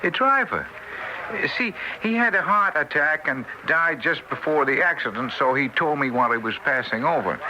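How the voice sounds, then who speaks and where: A man's voice: fairly high pitch, animated, moderate pace, highs cut off, noisy background, close to an everyday voice. An actor playing a timid, fretful ghost of an old father, hesitant and stammering, in an old-time radio drama. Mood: apologetic.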